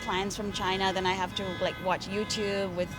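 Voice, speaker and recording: A woman's voice: mid-range voice, level tone, moderate pace, music in the background. A middle-aged woman interpreter from India speaking English with an American accent, chatting at length in an interview and laughing often. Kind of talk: conversational interview.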